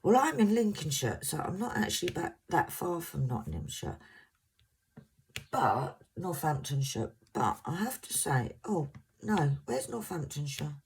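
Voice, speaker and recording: A soft-spoken woman in her fifties with a mixed English accent, low in pitch and slightly husky. She talks slowly and chattily to her viewers, wandering from point to point and now and then tripping over a word. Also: very animated. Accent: English, mixed southern. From Scotland. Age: 50s. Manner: rambling, chatty, slightly stumbling words. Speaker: crafting vlogger. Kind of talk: casual chat to viewers.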